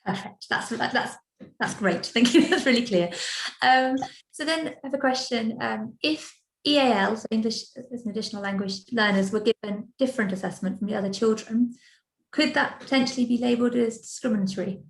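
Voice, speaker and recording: A woman's voice: a little high, a little fast, gravelly, echoey room, slight background noise, poor audio. A young adult woman moderating a live online Q&A, relaying audience questions in a friendly way over a video call. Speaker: event moderator.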